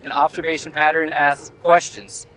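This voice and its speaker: A man's voice: fairly high pitch, flat delivery, normal speed, fairly bright tone, slight background noise, rough recording. A man presenting an educational video, narrating and asking curious questions. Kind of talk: scripted narration and on-site questions.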